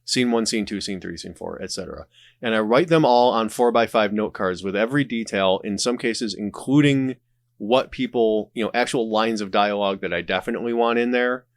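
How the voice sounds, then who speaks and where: A man's voice: fairly low pitch, a little fast, no room sound. A man speaking as a podcast guest, explaining his creative process in casual conversation. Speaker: podcast guest, writer and audio drama creator.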